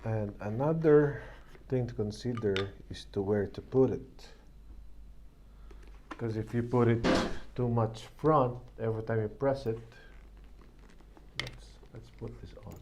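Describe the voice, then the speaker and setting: A man's voice: medium pitch, unhurried. A man talking casually and thinking aloud as he works through a hands-on product unboxing and installation. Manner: thinking aloud while working. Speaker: product reviewer. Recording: faint background noise.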